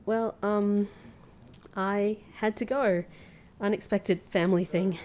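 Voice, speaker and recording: A woman playing a sardonic, flustered young woman in a comedy fantasy audio drama, with dry, halting delivery. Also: mid-range voice, steady tone, darkish tone, lightly acted. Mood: awkward, subdued.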